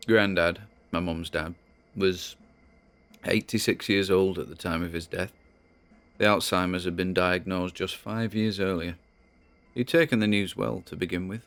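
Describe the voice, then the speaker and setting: A British man playing a troubled grandson who records a halting, confiding audio diary in an audio drama. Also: low voice, a bit bright, clean tone.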